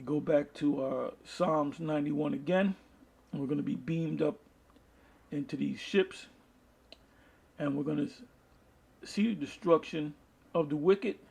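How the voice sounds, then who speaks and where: A man preaching from the Bible, reading verses aloud and explaining them in a halting, deliberate manner, with a husky, gravelly voice. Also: on the high side, darkish tone. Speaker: street-style Bible preacher. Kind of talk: Bible teaching with scripture read aloud and commentary.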